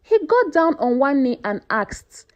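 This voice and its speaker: A woman narrating a folktale as a scripted story, with an expressive, dramatic delivery. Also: sits high, a little slow.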